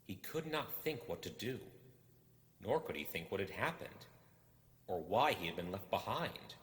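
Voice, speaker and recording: A man narrating a storybook expressively, in a measured, dramatic delivery with deliberate pauses. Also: on the low side, sing-song, a bit bright. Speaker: audiobook reader. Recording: roomy echo with long reverb.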